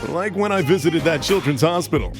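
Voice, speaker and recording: Self-important cartoon show-off, male actor: cocky, vain, slightly high register. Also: lively intonation, a little fast, loud music behind the voice. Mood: smug. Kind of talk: animated comedy voice acting.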